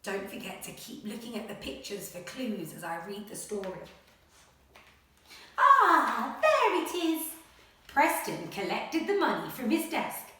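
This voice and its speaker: A woman primary school teacher speaking to children and reading a picture book aloud in a dramatic, expressive way. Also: fairly high pitch. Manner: dramatic, expressive, with gasps and suspenseful pauses. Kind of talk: animated children's story reading and lesson. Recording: very echoey room, slightly distant mic.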